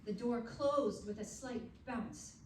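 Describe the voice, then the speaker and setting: Echoey, slow-paced woman reading a story. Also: fairly high pitch, darkish tone. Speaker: professional actor reading fiction. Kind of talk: live short-story narration. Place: indoor stage reading. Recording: very echoey, distant stage recording, faint noise.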